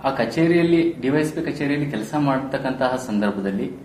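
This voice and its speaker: Male, thirties, Karnataka Kannada accent, mid-pitched, earnest and formal podcast guest, echoey room. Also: animated, brisk, darkish tone. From Karnataka, India. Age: 30s. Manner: earnest, formal phrasing with English terms mixed in. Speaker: interview guest, police sub-inspector probationer. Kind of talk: podcast interview, personal storytelling. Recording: roomy echo, faint background noise.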